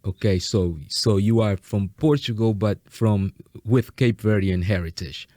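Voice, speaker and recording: A man's voice: lowish voice, a little slow, dark tone, no room sound, studio quality. A man hosting a conversational interview, wordy and rephrasing his questions as he goes. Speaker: interviewer, host.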